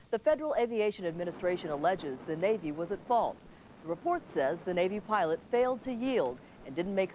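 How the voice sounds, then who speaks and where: Low-voiced woman anchoring local news. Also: a little mellow, poor audio. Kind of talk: scripted newscast delivery.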